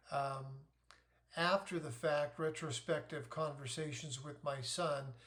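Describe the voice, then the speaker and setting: A middle-aged man talks in a slightly higher register than most men. He hosts an informal explainer, sharing advice as a parent. His delivery is deliberate and methodical, working through questions and answers step by step. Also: a bit bright. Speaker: host, navy parent giving advice.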